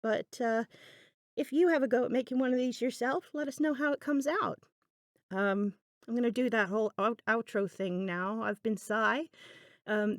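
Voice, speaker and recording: A woman's voice: a little high, dry recording, clean studio sound. A woman hosting a craft video in a casual, unscripted voiceover, musing aloud in a chatty, self-deprecating way. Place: indoors, voice-over recorded apart from the video.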